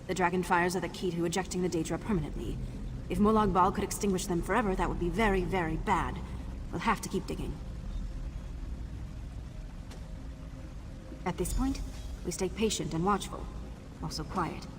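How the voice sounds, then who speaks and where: An actress playing a wry, guarded undercover agent in a video game, with a dry, clipped delivery. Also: female voice, fairly low pitch, steady tone, a little fast, noisy background, natural voice. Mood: serious, watchful. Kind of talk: video game character acting. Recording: prominent music behind the voice.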